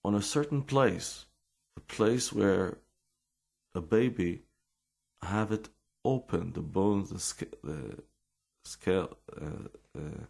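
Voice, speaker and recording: A middle-aged Israeli man with an accent, telling his life story in halting English full of restarts and fillers. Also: sits low, vocal fry, a little mellow, husky. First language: Hebrew. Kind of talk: personal storytelling and explanation.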